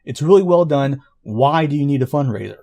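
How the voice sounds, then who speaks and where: A man who writes an audio drama, explaining it in an earnest, informal pitch. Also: lively intonation, fairly quick, vocal fry, background noise.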